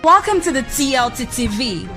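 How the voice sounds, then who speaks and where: A woman's voice: high voice, very animated, moderate pace, over music. A woman with a Nigerian accent playing a formal television newsreader, reading a scripted bulletin in a radio drama. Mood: formal, announcing.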